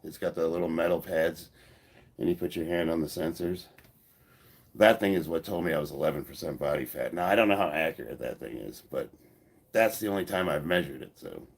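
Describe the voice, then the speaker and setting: A deep-voiced American man in his fifties talks casually to his livestream viewers. He sounds stuffed up from a head cold, and he answers comments bluntly, with swearing and easy laughter. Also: brisk, gravelly, breathy edge. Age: 50s. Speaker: livestream host and powerlifter.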